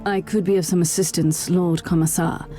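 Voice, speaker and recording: A woman's voice: fairly low pitch, fairly even intonation, moderate pace, dark tone, soft background music, close to an everyday voice. An actress playing a stern, zealous woman inquisitor in a video game. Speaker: inquisitor, player character.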